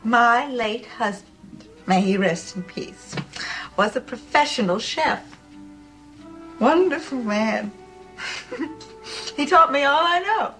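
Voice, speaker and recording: An actress playing a flirtatious but wary small-town widow in an audio drama. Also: female voice, a little high, animated, takes it slowly, long pauses, bright, forceful tone, faint music behind, full character voice. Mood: coy.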